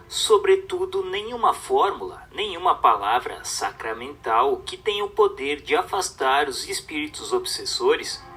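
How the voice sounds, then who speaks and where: A man's voice: mid-range voice, sharp tone, husky. A man reading a religious book as dramatic scripted narration, in a solemn, emphatic, declamatory manner.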